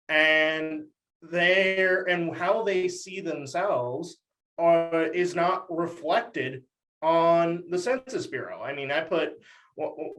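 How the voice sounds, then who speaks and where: A young male guest from Texas talks in a somewhat high voice. He explains his views articulately, in the conversational style of a political commentator on a podcast. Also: fairly bright tone, low-quality recording.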